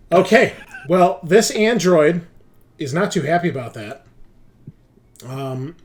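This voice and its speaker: A man running a tabletop roleplaying game as game master, narrating in a casual, colloquial way. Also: on the high side.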